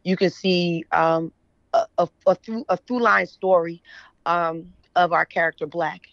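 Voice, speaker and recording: A woman's voice: on the low side, fairly even intonation, unhurried, vocal fry, no echo, dull audio. A woman from Philadelphia with an American accent, telling stories casually as an interview guest and often checking in with the listener. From Philadelphia, USA. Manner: conversational, often checks in with "right?". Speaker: interview guest, author and editor.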